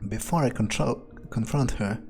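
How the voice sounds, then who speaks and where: Mellow-voiced man role-playing through a game. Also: mid-range voice, normal speed. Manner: musing, casual, profane. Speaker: gamer role-playing his character aloud. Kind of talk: in-character game playthrough commentary.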